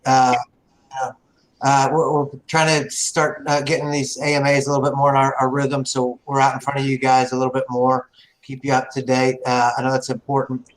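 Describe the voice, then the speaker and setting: A man's voice: mid-range voice, monotone, brisk, slight background noise, poor audio. A man on a team call speaking hesitantly with many fillers, sincere. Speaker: team member on a live stream.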